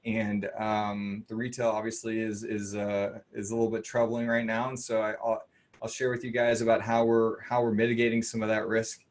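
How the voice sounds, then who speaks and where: A man's voice: on the low side, flat delivery, normal speed. A man in commercial real estate introducing himself on a video call webinar, talking earnestly and rambling with many restarts. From Austin, Texas.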